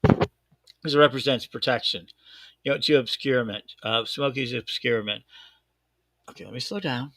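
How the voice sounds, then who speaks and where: A man hosting a casual show in a thick Chicago accent, rambling excitedly and often doubling back on himself. His voice is bright and gravelly. The sound is close and dry. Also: mid-range voice, normal speed, long pauses, dry recording. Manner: excitable, rambling, self-correcting. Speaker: host. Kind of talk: casual live-stream chat.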